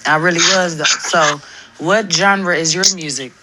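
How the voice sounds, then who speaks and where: A woman's voice: deep voice, unhurried, heavy background noise. A woman hosting a casual interview podcast who asks questions in a chatty, slangy way and laughs easily. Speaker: podcast host.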